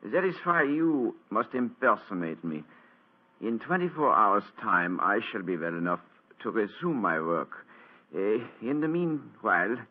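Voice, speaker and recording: A husky, weakened German count, radio drama. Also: male voice, fairly low pitch, sing-song. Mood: earnest, urgent. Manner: halting, formal, earnest. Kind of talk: old radio drama acting. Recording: muffled, band-limited old radio recording.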